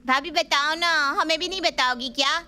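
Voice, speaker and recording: A woman's voice: high voice, moderate pace, pushed and bright, faint music behind, pitched above the performer's own voice. A woman voicing a teasing, chirpy young sister-in-law in a family story, playful and eager.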